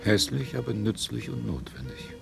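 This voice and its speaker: An actor playing a cold, pompous ruling scientist of a dystopian colony in a declamatory radio play manner. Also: male voice, fairly low pitch, huge pitch swings, normal speed, creaky, music in the background, full character voice. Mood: coldly pompous. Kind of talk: 1970s German radio play acting.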